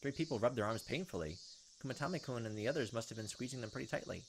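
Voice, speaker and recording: A man's voice: medium pitch, animated, rapid-fire, noisy background. A man hosting a gaming video, reading a visual novel aloud with casual, sometimes profane commentary. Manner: casual, profane asides, reacts to the text as he reads. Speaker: gaming video host, let's player.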